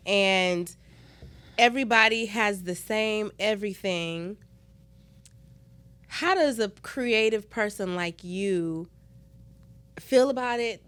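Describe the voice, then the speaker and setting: A woman's voice: medium pitch, fairly bright tone. A woman co-hosting a podcast, gushing and enthusiastic in casual chat as she asks the guest questions. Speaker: podcast co-host.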